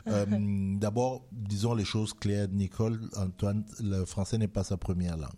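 Low-voiced guy hosting a radio chat, easygoing, lots of "euh". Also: moderate pace. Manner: relaxed, conversational, frequent hesitations and laughs. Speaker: radio show host. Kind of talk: radio interview.

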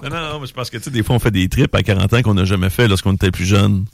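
A man's voice: fairly low pitch, animated, quick pace, creaky, no room sound, clean studio sound. A man in his forties with a Québécois French accent, hosting a show in a colloquial, teasing, chatty way. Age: 40s. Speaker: radio show host. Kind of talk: casual chat with co-hosts.

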